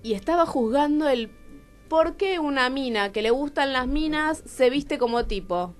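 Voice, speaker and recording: A woman with a fairly high voice and a Rioplatense accent talks openly about herself in a radio interview. She is animated, posing questions to herself and slipping into imagined dialogue as she explains. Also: moderate pace. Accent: Rioplatense Argentine Spanish. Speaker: guest playwright. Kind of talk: candid radio interview, personal storytelling. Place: radio studio.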